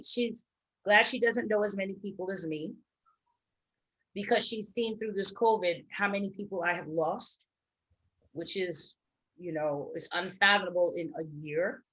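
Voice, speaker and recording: A woman's voice: medium pitch, normal speed, fairly bright tone, rough recording. A middle-aged woman co-hosting a show, speaking in casual, reflective conversation. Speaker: co-host of an online talk show.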